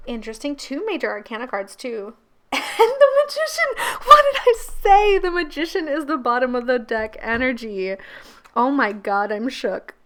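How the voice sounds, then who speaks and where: A woman with a croaky, gravelly voice giving a casual pick-a-card tarot reading, chatty and laughing often. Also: sits high, sing-song, moderate pace, bright, forceful tone, slight background noise. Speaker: tarot reader and channel host.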